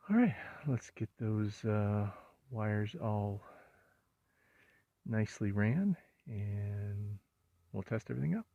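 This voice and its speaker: A man narrating a hands-on install in a casual, low-key way, muttering to himself as he works. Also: animated, takes it slowly, gravelly, pauses often, mellow, no echo. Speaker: vlogger doing truck accessory installs. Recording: muffled lo-fi audio, faint noise, clicks.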